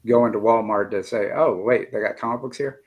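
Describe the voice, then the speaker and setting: A man's voice: on the low side, steady tone, a little fast, a little mellow, husky, lo-fi sound. A man about to turn fifty, hosting a casual interview with friendly, joking asides. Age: 40s. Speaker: interview host.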